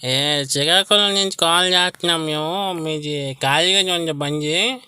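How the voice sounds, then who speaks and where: A man's voice: fairly high pitch, a little slow, pushed and bright, smooth, no echo. A man casually making a comedy prank call, persistent and mock-earnest in his pleading. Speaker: prank caller and host.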